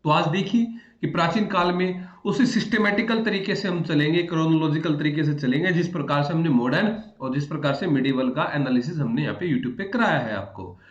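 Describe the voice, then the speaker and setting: A man's voice: a little high, fairly quick. A man teaching in a conversational lecture style, repeating phrases and addressing his students directly. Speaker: history teacher for exam aspirants. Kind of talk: explanatory online lecture in Hindi with English terms. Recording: echoey room, dull muffled audio.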